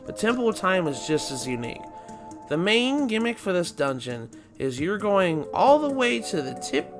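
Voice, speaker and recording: A man hosting a video game let's play, losing his voice and sounding raspy, rambling and correcting himself as he talks. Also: on the high side. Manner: rambling, self-correcting, chatty. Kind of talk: casual gameplay commentary.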